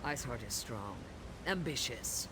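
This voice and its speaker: A man's voice: mid-range voice, lively intonation, unhurried, heavy background noise, lightly acted. A man playing a grim, battle-weary orc warrior in a fantasy video game, grave and blunt. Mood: grim, scornful. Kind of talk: video game dialogue acting.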